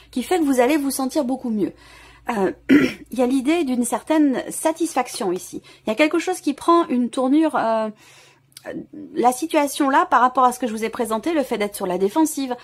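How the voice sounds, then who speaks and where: A woman giving an intuitive tarot reading straight to the viewer, feeling for her words and often rephrasing as she goes. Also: high-pitched. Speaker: tarot reader, channel host.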